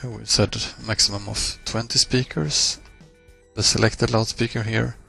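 Male tutorial presenter, husky and fairly flat in intonation, measured explanatory delivery. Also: lowish voice, soft background music. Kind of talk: screencast software walkthrough, explanatory.

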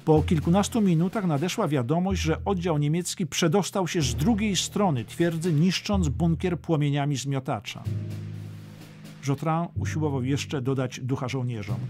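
A man's voice: fairly high pitch, moderate pace, darkish tone, faint music behind. A man narrating a dramatic history audiobook from a script.

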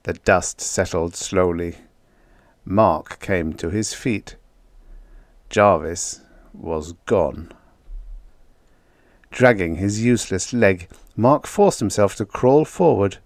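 A man with a low voice narrates vintage science fiction in a measured, dramatic storytelling manner. The recording is close and dry, with very little room around the voice. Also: no room sound. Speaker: audiobook narrator.

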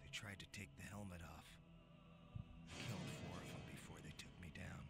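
Very deep, haunted soldier. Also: male voice, fairly even intonation, brisk. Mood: grimly vengeful. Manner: brooding, grim storytelling. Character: haunted, vengeful male soldier turned cannibal asylum inmate. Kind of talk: scripted first-person game cutscene narration. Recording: loud music behind the voice. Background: loud music.

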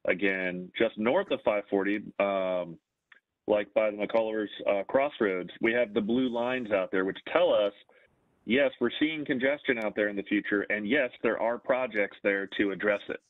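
A man's voice: fairly low pitch, normal speed, dry recording. A man on a video call explaining to colleagues, checking in with rhetorical questions. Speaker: planner on a webinar. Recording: tinny, dry phone-like line.

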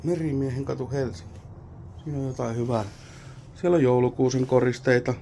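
A man at an ordinary mid pitch mutters and wonders aloud as he picks through an abandoned wooden cabin. His loose, casual commentary comes through a handheld camera mic. A faint hiss sits under the voice. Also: steady tone, vocal fry, warm tone. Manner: muttering, wondering aloud while poking around. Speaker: abandoned-places explorer. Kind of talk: casual exploration vlog commentary.

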